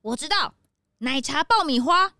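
A woman voicing an earnest, excitable young detective sidekick in a children's audio drama. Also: high voice, measured pace, music in the background. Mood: earnest, curious.

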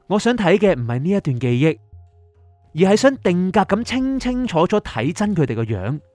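Male actor, wistful orphaned young man in an audio drama, Hong Kong Cantonese, high-pitched with lively swings. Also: no room sound. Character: wistful dead young man, abandoned orphan, narrating memories. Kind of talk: radio drama narration and dialogue. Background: faint music.

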